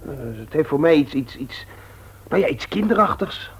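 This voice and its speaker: A man's voice: fairly high pitch, expressive, moderate pace, vocal fry, husky, heavily acted, low-quality recording. A man playing an insolent, rebellious young son in a radio play, flippant and cool, sometimes hesitant. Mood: hesitant, sceptical. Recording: old radio play recording.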